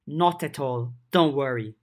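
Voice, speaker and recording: A narrator voicing a mysterious female spirit who speaks in a formal, measured, wordy way. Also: medium pitch, close to an everyday voice. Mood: composed.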